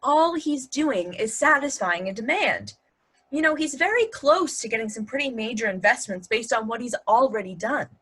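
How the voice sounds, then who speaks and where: A woman's voice: on the high side, lively intonation, moderate pace, fairly bright tone, slightly rough. A woman playing a blunt, dry-witted partner who defends her man, delivered pointedly in a play reading. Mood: insistent. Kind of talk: virtual table read of a stage comedy-drama.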